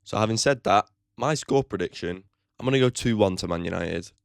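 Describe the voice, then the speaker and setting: A man's voice: lowish voice, brisk, creaky, clean tone, no room sound, studio quality. A man hosting his own football fan channel, giving a blunt, opinionated match preview with casual swearing. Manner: chatty, with frequent "like" fillers.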